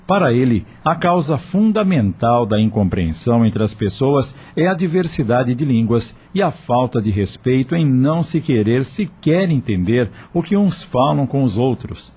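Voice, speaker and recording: A man narrating a radio drama from a script, in an expressive, dramatic storytelling manner. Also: mid-range voice, husky.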